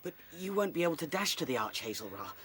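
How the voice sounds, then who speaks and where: An actor playing a gentle, sensitive rabbit in a radio play, earnest and soothing. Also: male voice, on the high side, big pitch swings, fast talker, slight background noise. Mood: concerned, persuasive. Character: gentle, sensitive male rabbit, quietly practical.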